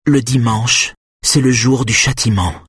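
A stern, cruel matriarch in an audiobook, acted out by a man. The voice is raised in pitch and very husky, commanding and accusing, worn down yet fiercely set on obedience. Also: a little slow, a bit bright, dry recording, studio quality. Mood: stern, impatient. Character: cruel, domineering, exhausted matriarch obsessed with family obedience. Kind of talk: audiobook character acting.

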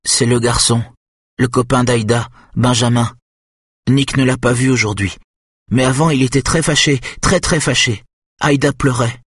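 A man's voice: mid-range voice, fairly even intonation, moderate pace, slightly rough, no room sound, pitched above the performer's own voice, lightly acted. A man voicing a big childlike young man, anxious and innocent, who talks in a simple, eager way close to tears.

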